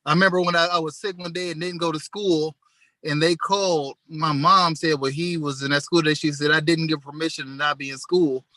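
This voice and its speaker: Bright, dry-voiced young man chatting casually. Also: on the high side, steady tone, brisk, no room sound. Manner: laid-back, storytelling with slang. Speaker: podcast co-host. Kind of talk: casual chat.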